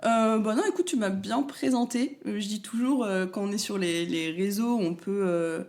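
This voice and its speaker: A young woman from Paris, a talkative guest in a casual interview who pauses often on fillers. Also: mid-range voice, darkish tone. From France, Paris. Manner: chatty, talkative, many fillers and restarts. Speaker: guest, content creator.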